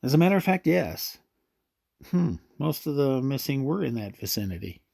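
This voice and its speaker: A man's voice: mid-range voice, very animated, moderate pace, creaky, dark tone. An actor playing a weary, troubled man in an audio drama, talking in a conversational, muttering way. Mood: weary, chatty. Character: weary, troubled male searcher who lives with snake. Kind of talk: radio drama acting.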